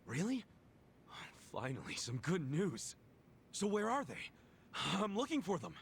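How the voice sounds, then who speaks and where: Game dub: earnest, blunt ex-con yakuza, higher male voice, lively and out of touch. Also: unhurried, a little hiss or hum. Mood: eager. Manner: blunt, informal, animated. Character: brash, earnest ex-convict yakuza man, out of touch. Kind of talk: English video game dub acting.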